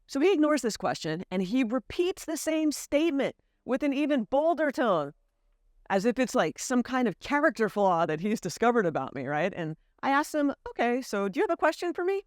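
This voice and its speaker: A woman's voice: fairly high pitch, brisk, no echo, clean studio sound. An American woman telling a personal story to camera in an earnest, candid way. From United States. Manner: earnest, candid, lively emphasis. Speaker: vlogger.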